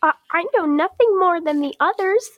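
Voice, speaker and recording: High-voiced, defiant old-fashioned village matriarch played by a woman. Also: moderate pace, dry recording, lo-fi sound. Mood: defensive. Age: young adult. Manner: archaic thee-and-thou speech, guarded, indignant. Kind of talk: classic radio drama acting.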